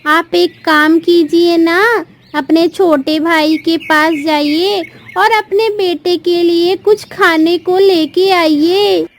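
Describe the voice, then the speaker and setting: Woman voicing a very high-pitched pleading crow wife. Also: steady tone, slow, few pauses, exaggerated voice. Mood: worried, pleading. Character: anxious, caring crow wife and mother. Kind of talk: Hindi children's cartoon story dialogue.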